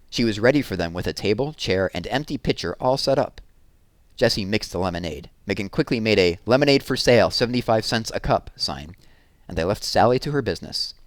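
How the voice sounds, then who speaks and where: A man's voice: on the low side, no room sound. A man reading a children's book aloud as a storyteller.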